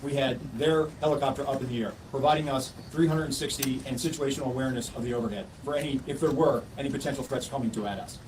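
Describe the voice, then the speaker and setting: A man's voice: medium pitch, fairly even intonation, fast, hardly any pauses. A man speaking as an official answering questions at a press conference, using formal, emphatic phrasing. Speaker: Secret Service official. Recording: noisy, distant press conference pickup.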